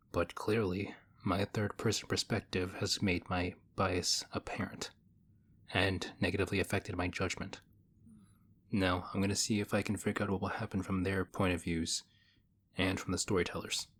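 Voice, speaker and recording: A man playing a stoic young nerd, reserved and quietly affectionate, speaking in deadpan, measured phrases in an intimate audio roleplay. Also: sits low, slight background noise. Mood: dry, analytical.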